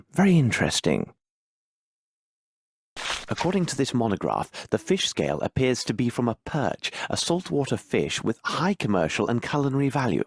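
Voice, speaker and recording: A man voicing a shrewd, imperious gentleman detective in a video game, with a British accent and a precise, formal, theatrical delivery. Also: mid-range voice, slightly rough, full character voice. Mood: analytical, intrigued. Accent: British English. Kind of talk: dramatic video game voice acting.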